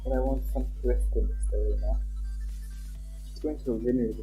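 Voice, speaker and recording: A man's voice: medium pitch, fairly even intonation, moderate pace, warm tone. A young man live-streaming a game, chatting casually and reading on-screen text aloud. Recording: dull lo-fi mic with background music. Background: game music.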